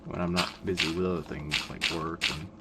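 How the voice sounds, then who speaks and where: A man in his twenties giving calm, monotone, rambling gameplay commentary, full of fillers. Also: a bass voice, a little fast. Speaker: gaming video creator. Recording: loud background noise.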